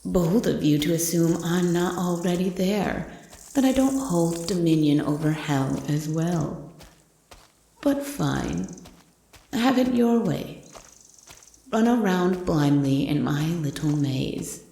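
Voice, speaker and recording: A woman voicing a menacing female forest monster that slowly taunts its prey in a mocking horror roleplay. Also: on the low side, lively intonation, vocal fry, warm tone, reverberant, slight background noise, full character voice, poor audio. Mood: threatening, possessive. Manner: slow, drawn-out, mocking. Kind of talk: horror audio roleplay, ASMR.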